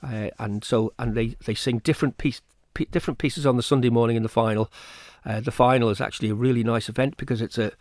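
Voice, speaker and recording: A man's voice: medium pitch, steady tone, fast, hardly any pauses, darkish tone, dry recording, studio quality. A man speaking as an event organiser in an informal radio interview, repeating words hesitantly as he explains. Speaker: choir festival organiser, interview guest.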